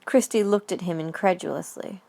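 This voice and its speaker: A woman's voice: on the low side, moderate pace, gravelly, mellow. A woman reading scripted story narration for an audio drama.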